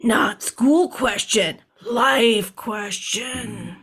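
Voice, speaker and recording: A woman playing a picky, disdainful, brain-hungry zombie in a comic audio play, with husky groans and broken speech. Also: a little high, drawn-out words, fairly bright tone, exaggerated voice.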